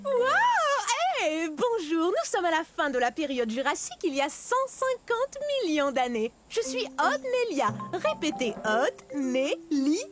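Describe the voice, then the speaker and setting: A woman voicing a playful, cheeky young female dinosaur, small and speedy, in an excitable children's cartoon manner. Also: very high-pitched, measured pace, few pauses, loud music behind the voice, full character voice. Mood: excited, playful. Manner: excitable, teasing, addresses viewers. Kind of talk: animated children's cartoon acting.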